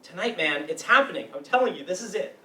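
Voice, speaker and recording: An earnest, self-serious teenage boy, acted by a man in a stage play reading. The delivery is highly animated and bright, with emphatic indignation ready at hand. It is heard from a distance in an echoey room. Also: quick pace. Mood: lovesick. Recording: roomy, distant mic with background noise.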